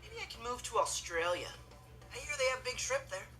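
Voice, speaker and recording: A man's voice: very high-pitched, sing-song, fairly quick, bright, forceful tone, full character voice. Laid-back, sarcastic teen boy. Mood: deadpan annoyance. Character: cool, lazy, sarcastic teenage stepbrother. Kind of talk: scripted sitcom monologue to camera. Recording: music behind TV dialogue.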